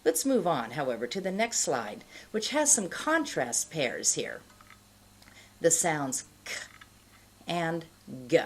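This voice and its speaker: A middle-aged woman giving an instructional pronunciation lesson, explaining in a deliberate, carefully enunciated way. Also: sits low, big pitch swings, breathy edge. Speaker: pronunciation teacher. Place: indoor studio, plain backdrop.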